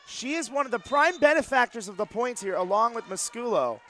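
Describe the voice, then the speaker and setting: Male sports analyst, high voice, conversational live volleyball commentary from a gym. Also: lively intonation, a little fast, bright, forceful tone. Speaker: color commentator. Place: courtside in a gymnasium.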